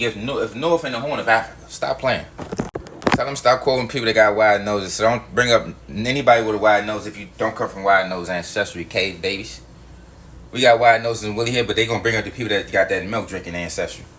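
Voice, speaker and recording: A man's voice: lowish voice, a bit bright, slight background noise. A man delivering a heated monologue to his viewers, emphatic and profane, repeating his points. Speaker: video host.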